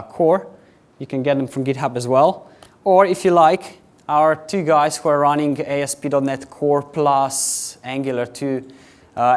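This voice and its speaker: A young man from Slovenia with a Slovenian accent, giving a quick, chatty technical conference talk to a live audience. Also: fairly high pitch, clean tone. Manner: quick, chatty presenter with filler words, addressing a live audience. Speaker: conference speaker.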